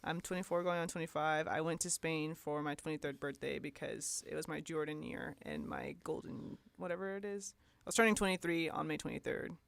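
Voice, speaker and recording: A woman's voice: low voice, a little fast, gravelly. A woman in her twenties with a Texan accent, a podcast guest chatting casually and laughing easily. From Texas. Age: 20s. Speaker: guest musician.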